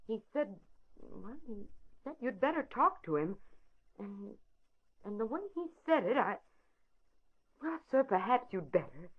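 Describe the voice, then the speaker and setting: A woman's voice: mid-range voice, big pitch swings, measured pace, long pauses, husky. A woman playing a timid, apologetic secretary, hesitant and deferential, in an old-time radio drama. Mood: anxious. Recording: thin, phone-like vintage radio recording.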